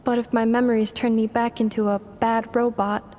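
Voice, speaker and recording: A woman plays a calm, earnest android maid in a game cutscene, with a voice set a little high. She keeps her lines plain and measured, matter-of-fact even when the subject is serious, and a faint hiss sits under the recording. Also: close to an everyday voice.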